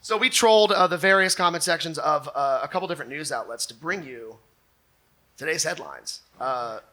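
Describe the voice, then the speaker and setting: A man's voice: on the high side, expressive, moderate pace, pushed and bright, rough recording. A man hosting a live comedy show, joking through banter and theatrical readings of online comments. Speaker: comedy show host and performer.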